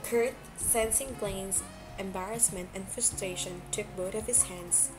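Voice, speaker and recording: A woman's voice: medium pitch, fairly even intonation, measured pace, over music. A woman narrating a story from a script as an amateur audiobook reader, now and then stumbling over words. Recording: clearly noisy amateur home recording.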